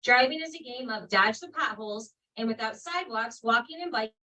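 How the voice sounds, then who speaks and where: A woman on a village council reading residents' letters into the record, in a loud, clear voice at a public meeting. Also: fairly high pitch, expressive, fairly quick. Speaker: council member. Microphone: distant from the microphone. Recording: speaker far from the mic.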